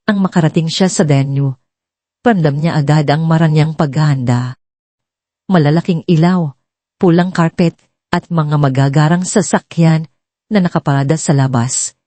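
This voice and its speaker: Mellow woman narrating a story. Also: sits low, dry recording. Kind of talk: scripted dramatic story narration.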